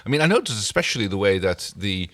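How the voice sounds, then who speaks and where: Low-pitched, wry man chatting casually. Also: sing-song, quick pace, a bit bright. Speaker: podcast co-host. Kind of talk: casual TV episode recap chat.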